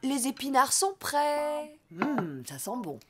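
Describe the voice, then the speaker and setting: Cartoon donkey mum: doting, teasing, very lively swooping intonation, prominent music underneath. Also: female voice, high-pitched, slow, full character voice. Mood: calling. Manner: doting, sing-song. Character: affectionate, teasing mother donkey. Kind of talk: cartoon voice acting. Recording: loud music behind the voice.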